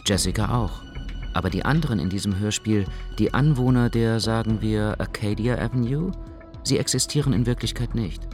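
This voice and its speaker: An actor narrating a radio play in a measured, matter-of-fact way. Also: male voice, fairly low pitch, a little mellow, soft background music, natural voice. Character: calm adult male narrator.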